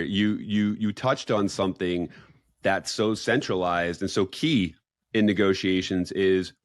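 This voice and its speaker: A man's voice: sits low, no echo, studio quality. A man hosting a podcast, interviewing a guest with eager, rambling questions that he sometimes restarts. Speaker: podcast host.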